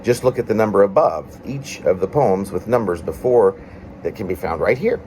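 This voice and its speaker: A man reading his own confessional, prose-like poem aloud in a reflective, halting way with formal wording. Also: fairly low pitch, lively intonation, dark tone, a little hiss or hum. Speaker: poet reading own work.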